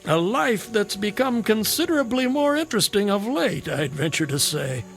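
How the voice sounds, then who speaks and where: An actor playing a garrulous, whimsical, hard-drinking drifter in a radio drama, with wry, theatrical, wordy phrasing. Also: male voice, sits high, big pitch swings, moderate pace, creaky, a bit bright, soft background music, heavily acted. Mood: florid, musing.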